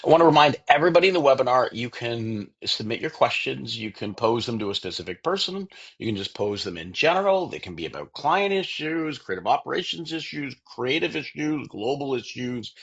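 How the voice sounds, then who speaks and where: A man moderates a webinar panel with a husky, slightly breathy voice. His pitch rises and falls a lot, and he keeps things brisk and upbeat. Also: a bit bright, muffled recording.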